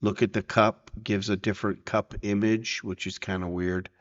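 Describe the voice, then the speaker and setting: A man presenting a casual software tutorial, explaining informally and checking in as he goes. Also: fairly low pitch, flat delivery, moderate pace, highs cut off.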